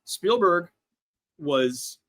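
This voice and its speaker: A man's voice: high-pitched, lively intonation, drawn-out words, a bit bright. A man telling film-history anecdotes casually and emphatically as a podcast guest, often slipping into quoted dialogue.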